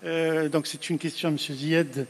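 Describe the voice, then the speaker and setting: A man's voice: a little high, little pitch movement, moderate pace, slight background noise. A man in an audience asking a spontaneous question into a handed microphone, hesitant and wordy in getting to it. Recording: reverberant hall microphone.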